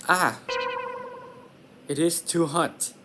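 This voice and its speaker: A man's voice: on the high side, steady tone, extremely slow, bright, forceful tone, full character voice. A man playing a curious, hungry little fairy-tale girl in a puppet show. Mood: put off. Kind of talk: puppet show character dialogue. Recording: clear background noise.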